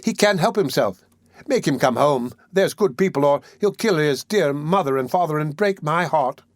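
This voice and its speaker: A man's voice: fairly high pitch, animated, moderate pace, dry recording, studio quality, natural voice. A man reading aloud and voicing a theatrical young woman who wails and pleads. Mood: pleading. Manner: pleading, tearful outbursts.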